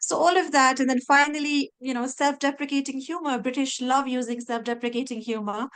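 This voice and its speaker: A woman's voice: high-pitched, fairly even intonation, a little fast, a bit bright. A woman from Pakistan, an executive coach, speaking earnestly on a panel over a video call.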